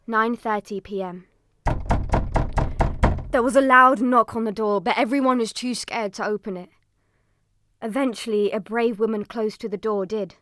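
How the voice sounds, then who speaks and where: A woman's voice: fairly high pitch, steady tone, normal speed, long pauses, natural voice. A woman playing a frightened fourteen-year-old girl, telling her story with dramatic emotion in an audio drama. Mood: fearful, intense. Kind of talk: dramatic first-person audio drama narration.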